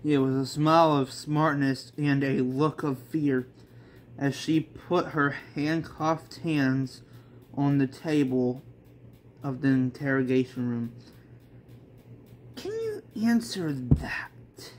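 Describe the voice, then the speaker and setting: A man's voice: mid-range voice, flat delivery, slow, slight background noise. A man reading a story aloud in an amateur way, with rambling, informal asides and some swearing. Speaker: reader of a self-made manga story. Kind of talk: amateur story read-along with casual asides.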